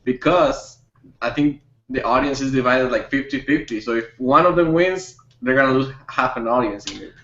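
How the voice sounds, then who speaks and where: A man's voice: mid-range voice, moderate pace, a bit bright. A man in a casual group discussion, offering his view a little tentatively and repeating words. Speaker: discussion panelist. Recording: echoey room, dull lo-fi audio.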